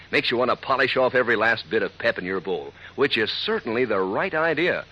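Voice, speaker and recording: A man with an American accent reading a 1940s radio commercial to children, folksy and peppy. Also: medium pitch. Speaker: commercial announcer. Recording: dull vintage radio recording.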